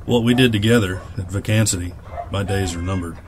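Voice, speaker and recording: Low-voiced, weary old aviator played by a man. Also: fairly quick, noisy background, lightly acted. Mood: bitter, grieving. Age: older. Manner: folksy, plain-spoken, brooding. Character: weary, bitter old aviator turned farmer, loyal and proud. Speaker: voice actor. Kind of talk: audio drama acting.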